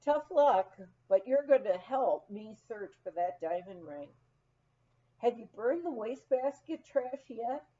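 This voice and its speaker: A woman's voice: a little high, lively intonation, slow pace, dark tone, lo-fi sound. A woman voicing a blunt, no-nonsense ranch innkeeper in a memoir, with a wry, exasperated manner. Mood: firm.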